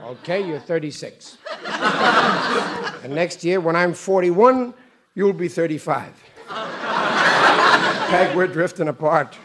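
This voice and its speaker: An actor playing a bumbling, self-pitying working-class husband in a 1940s radio comedy, whiny and stammering. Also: male voice, high voice, expressive, takes it slowly, pauses often. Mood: grumbling. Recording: old radio broadcast with noise.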